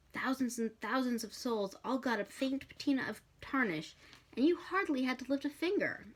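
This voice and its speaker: A woman narrating fiction aloud in an expressive storytelling style. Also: a little high. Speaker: bookshop reader.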